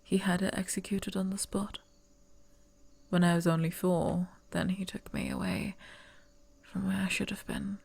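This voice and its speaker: A woman voicing a young noblewoman who would be heir, whispering softly and stammering when flustered, in an ASMR roleplay. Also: on the low side, very animated, a little slow, gravelly, breathy edge, heavily acted. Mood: wistful.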